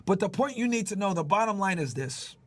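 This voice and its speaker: Man, high voice, livestream host, explainer monologue, emphatic repetition, casual profanity, frequent tag questions. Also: lively intonation, fairly quick. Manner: emphatic, repeats key points, casual profanity; checks in with listeners using "right?", "okay?". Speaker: livestream host, former federal agent.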